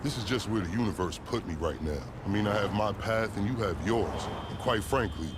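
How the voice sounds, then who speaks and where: An actor playing a verbose, sardonic police recruit and schemer in a video game, speaking in pompous, formal phrases. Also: male voice, lowish voice, brisk, background noise, close to an everyday voice. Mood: wry, confiding. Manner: pompous, wordy formal diction. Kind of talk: scripted video game cutscene acting.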